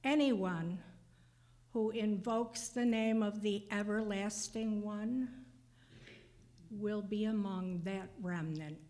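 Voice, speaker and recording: A woman's voice: mid-range voice, takes it slowly, dark tone. A woman reading scripture aloud in a church service, solemn and careful in her phrasing. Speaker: scripture reader.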